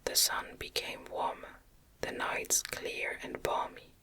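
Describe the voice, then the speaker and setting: Slow whispering woman, breathy and crisp. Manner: slow, gentle, careful phrasing. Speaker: audiobook reader. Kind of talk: whispered ASMR reading of a gothic novel.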